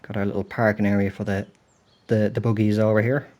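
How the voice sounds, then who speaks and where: British guy commentating a golf game, lowish voice, kinda stuffed up and throaty. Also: flat delivery, fast talker, darkish tone. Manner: chatty, apologetic, thinking aloud while playing. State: slightly throaty and stuffed up from a cold. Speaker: gaming channel host.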